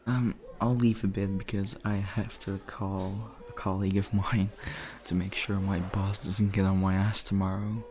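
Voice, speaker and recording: An actor playing a caring young adult brother comforting his sister in an ASMR roleplay, speaking gently and hesitantly with soft, breathy chuckles. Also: low-pitched, flat delivery, normal speed, hardly any pauses, close to an everyday voice. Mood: gentle, apologetic.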